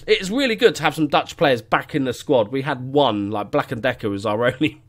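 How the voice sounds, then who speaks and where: A man's voice: medium pitch, expressive, brisk. A man in his thirties with a cold and a stuffy voice, commentating casually on a game from his living room, sweary and self-mocking. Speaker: let's play host. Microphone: studio mic on stand, headphones.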